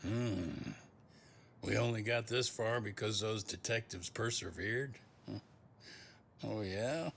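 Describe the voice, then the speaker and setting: An actor playing a wry, skeptical, weary male superior in a video game, musing dryly and deliberately. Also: fairly low pitch, creaky, a bit bright, heavily acted. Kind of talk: English video game dub, cutscene acting.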